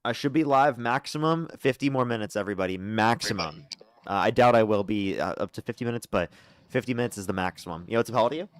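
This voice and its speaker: A young man hosting a live call-in debate stream, briskly screening callers with sarcastic asides. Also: lowish voice. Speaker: livestream debate host.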